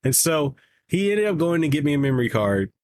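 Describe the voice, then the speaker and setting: Halting man in his mid-thirties. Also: fairly high pitch, very animated, a little mellow, a little hiss or hum. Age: 30s. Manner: halting, repeats words, storytelling. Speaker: podcast co-host. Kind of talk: casual storytelling chat.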